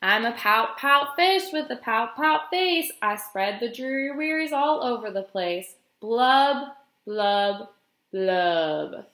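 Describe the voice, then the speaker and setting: A woman's voice: a little high, expressive, takes it slowly, a bit bright, echoey room, full character voice. A woman voicing a gloomy, resigned male fish from a storybook, in mournful singsong rhyme.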